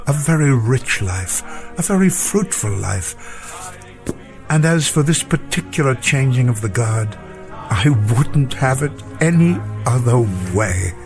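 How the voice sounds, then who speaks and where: An actor playing an elderly professor, formal, gentle and reflective, in a radio drama. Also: male voice, mid-range voice, very animated, measured pace. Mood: wistful, content. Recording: faint music behind the voice.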